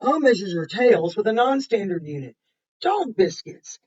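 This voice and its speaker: Woman voicing eager storybook schoolgirl, expressive, chattering to dogs, dull lo-fi recording. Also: medium pitch, normal speed, husky, voice pushed up. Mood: eager, bossy. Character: eager schoolgirl measuring her dog for homework. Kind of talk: storybook read aloud.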